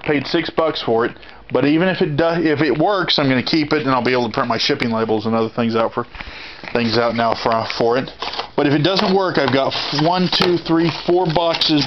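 A man in his thirties with a Texas accent, casually walking through his auction haul in a plainspoken, rambling way, filmed on a handheld camera indoors. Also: mid-range voice. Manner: rambling, plainspoken, frequent restarts. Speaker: auction reseller vlogger. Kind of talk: casual haul video walkthrough. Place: indoor storage room. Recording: dull lo-fi camera audio with clear noise.

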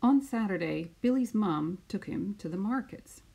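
Gravelly-edged middle-aged woman reading to children. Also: mid-range voice, animated, moderate pace, dark tone, highs cut off. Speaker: teacher reading a picture book aloud to pupils. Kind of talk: children's storybook read-aloud.

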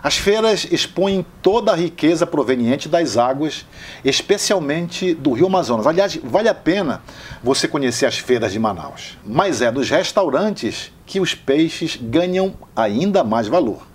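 Husky male travel narrator. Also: fairly high pitch, big pitch swings, unhurried.

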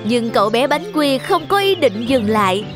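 A woman narrates a scripted story for children in a fairly high voice. Jingly children's music plays clearly behind her. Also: big pitch swings, moderate pace.

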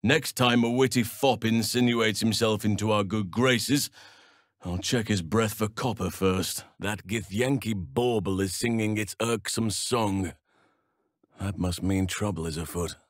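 An actor playing an earnest, battle-seasoned druid and protector of nature in a fantasy video game, speaking in a measured, solemn manner with formal, old-fashioned phrasing. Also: male voice, on the low side, no echo, clean studio sound, lightly acted. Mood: wry, musing.

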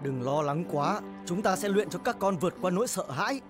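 An animated, protective father fish. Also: sits high, fairly quick. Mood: reassuring. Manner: instructive, reassuring. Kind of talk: animated film voice acting. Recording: music under the voice.

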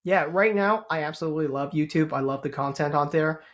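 A man in his thirties with a squeaky voice, a guest speaking earnestly and politely on a podcast over a video call. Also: a little fast, fairly bright tone. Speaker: guest YouTuber. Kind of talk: casual interview chat. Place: home room with gaming chair.

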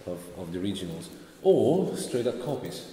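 A man in his thirties from Italy, speaking English with an Italian accent touched by Scottish, relaxed as an interview guest. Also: low-pitched, normal speed. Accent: Italian with a Scottish tinge. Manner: relaxed, gesturing while he talks. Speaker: sword maker, interview guest. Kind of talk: casual interview answers. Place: large bare hall, indoors. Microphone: far camera. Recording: echoey hall with faint noise.

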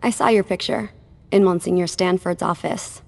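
An actress voicing a defiant, world-weary former servant of a vampire in a video game. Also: female voice, on the low side, normal speed, vocal fry, darkish tone. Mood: direct, defiant. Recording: long reverb.

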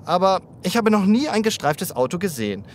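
Playful, low-voiced talking zebra, very lively. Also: female voice, a little fast, a little hiss or hum. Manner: playful, exclamatory, teasing. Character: playful, cheeky talking zebra, a child's best friend. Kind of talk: German children's radio play acting.

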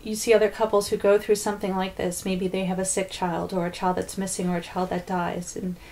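A woman in her thirties recounts her experience in a documentary interview. Her voice sits at an average height and varies very little in pitch. She speaks reflectively, as in personal recollection. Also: level tone, brisk, a little mellow, slight background noise. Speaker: adoptive parent, interviewee. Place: living room, indoors.

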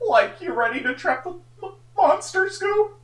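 A man's voice: extremely high pitch, lively intonation, moderate pace, fairly bright tone, distant mic. A man voicing a scared young slacker in a cartoon dub, with a whiny, cracking voice and a stammering drawl. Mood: nervous. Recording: roomy echo, faint music behind.

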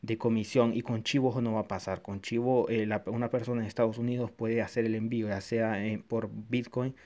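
A man's voice: fairly low pitch, flat delivery, brisk, a little mellow. A man from Honduras giving a casual, hesitant review of a wallet app over a screen recording, with many fillers and restarts. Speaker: tech reviewer.

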